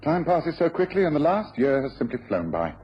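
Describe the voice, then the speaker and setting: Guy playing a polite sharp british gent detective in an old radio play, precise, thinking out loud, lively pitch, muffled a bit hissy. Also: a little fast. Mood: courteous. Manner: precise, musing aloud. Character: courteous, astute gentleman detective, keenly absorbed in cases. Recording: muffled vintage radio recording with hiss.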